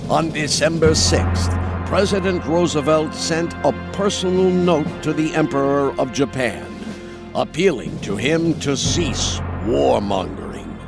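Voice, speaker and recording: A man playing a solemn, measured narrator of wartime history in a video game. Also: a little high, gravelly, music in the background. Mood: grave. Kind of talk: scripted historical narration, documentary-like.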